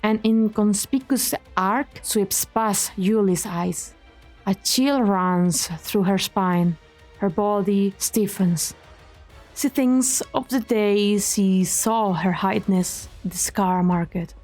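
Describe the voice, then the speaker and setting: A woman reading scripted dramatic story narration in a measured, solemn manner. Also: medium pitch, darkish tone. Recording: background music under the voice.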